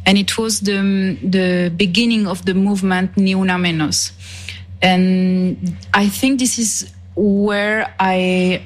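A woman from Switzerland, a non-native English speaker, answering as a musician in a reflective interview, repeating and rephrasing as she searches for words. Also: lowish voice. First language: not English.

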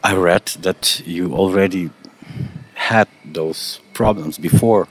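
This is a very deep-voiced man hosting a radio interview. He talks at an unhurried pace, with hesitant, careful questions in a second language. His first language is Slovenian. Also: slightly rough. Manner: slow, halting questions in careful non-native English.

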